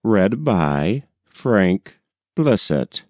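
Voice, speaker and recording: Male audiobook narrator for period fiction: slow, measured delivery, dry studio-like sound. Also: sits low, very animated, darkish tone, no echo. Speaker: audiobook reader. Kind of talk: scripted literary narration of a 19th-century novel.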